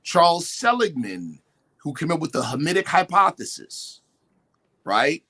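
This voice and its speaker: An emphatic man explaining his points in a lively voice that swings widely in pitch. His tone is slightly husky, and he sits a little high for a man. He keeps pressing his points and mimics other people as he talks. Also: unhurried. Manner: animated, emphatic, quotes definitions and mimics others. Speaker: guest speaker. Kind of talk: lecturing talk-show discussion.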